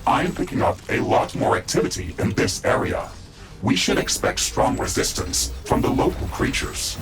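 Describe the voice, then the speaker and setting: A man playing a calm, dutiful mecha suit AI in a video game, speaking formally and with measure through a robotic filter. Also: on the low side, normal speed, gravelly, music in the background, heavily acted, low-quality recording. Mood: cautioning. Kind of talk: scripted video game dialogue.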